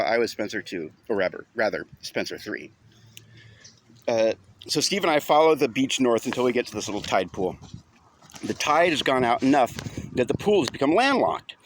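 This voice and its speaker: A man narrating a first-person audiobook story in an informal, wry, conversational way. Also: mid-range voice.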